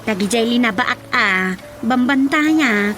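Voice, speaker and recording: A woman's voice: on the high side, animated, measured pace, a bit bright, faint music behind. An actress with an Ilocano accent playing a chatty village woman in a radio comedy drama.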